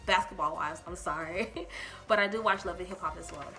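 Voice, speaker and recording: A woman's voice: lowish voice, fairly quick, over music. A young woman from Texas with a Liberian accent, chatting through a get-to-know-me Q&A with lots of ums and giggles, filmed indoors in a small room. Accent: Liberian English. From Texas, USA. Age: young adult. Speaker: YouTuber.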